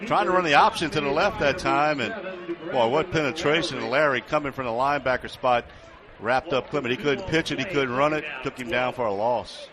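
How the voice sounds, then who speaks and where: A man with an American accent and a medium-pitched voice serves as color commentator on a live football broadcast. He analyzes the action in a conversational way from a stadium broadcast position. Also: fairly quick, a crowd in the background.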